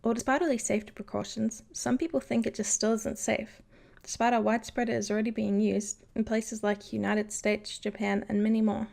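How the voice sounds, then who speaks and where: Mellow, creaky-voiced spaceship engineer played by a woman. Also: fairly high pitch, level tone, a little fast. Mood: matter-of-fact. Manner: patient, instructive. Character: knowledgeable, unflappable female ship's engineer, fond of puns. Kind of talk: scripted educational explanation in animation.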